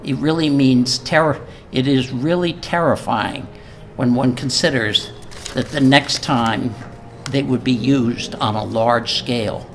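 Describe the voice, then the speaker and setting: A man's voice: medium pitch, a bit bright. Older man speaking over background noise. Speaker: speaker at a memorial event. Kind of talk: informal speech with reading from a letter. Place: live event before an audience. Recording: live event recording with noticeable background noise.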